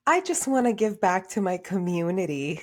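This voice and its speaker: A woman's voice: medium pitch, normal speed. A woman in her thirties from Honduras, a career coach telling her story as a podcast guest with many "like" fillers. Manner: animated, frequent "like" fillers. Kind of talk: podcast interview conversation.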